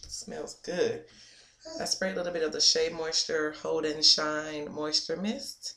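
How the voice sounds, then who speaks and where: A woman's voice: low voice, steady tone, a little slow, fairly bright tone. Playful woman vlogging in an echoey room. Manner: playful, amused, trails into whispers. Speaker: hair vlogger. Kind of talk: casual vlog chat. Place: small indoor room. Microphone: webcam at close range. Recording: echoey room with faint noise.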